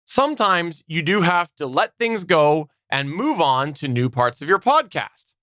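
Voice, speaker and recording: A man's voice: fairly high pitch, very animated, measured pace, a bit bright, dry recording, studio quality. A man hosting a podcast, presenting to listeners in an organized way. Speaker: podcast host.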